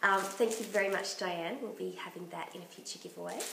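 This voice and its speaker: A woman hosting a knitting podcast in casual chat, her voice croaky from bronchitis, chatty and enthusiastic. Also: fairly low pitch, fairly even intonation, a little fast, echoey room, background noise. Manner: chatty and enthusiastic, laughs often.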